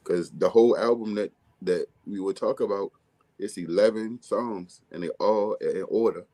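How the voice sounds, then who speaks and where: A man from with a deep, bass-heavy, mumbly voice, talking casually as a music artist guest in an interview. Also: moderate pace, mellow. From Baltimore, USA. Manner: mumbly, laid-back streetwise delivery.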